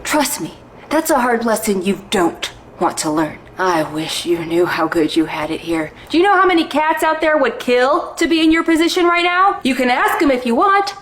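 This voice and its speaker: A woman's voice: high voice, sing-song, normal speed, a bit bright, slight background noise, pitched above the performer's own voice. A woman voicing a cat owner who lectures her pet with sarcastic, overdramatic scolding.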